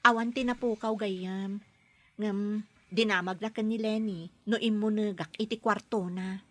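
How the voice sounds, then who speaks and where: A woman's voice: medium pitch, steady tone, measured pace, a bit bright, dry recording. An actress playing a young woman working as a nanny abroad, firm and defiant toward her boss, in a radio drama. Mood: confiding. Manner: firm, pushes back politely.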